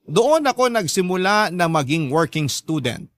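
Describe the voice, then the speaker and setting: A man who works as a radio host, narrating a first-person story in a measured, sentimental, dramatic style. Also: high-pitched, very animated, fairly bright tone, no room sound.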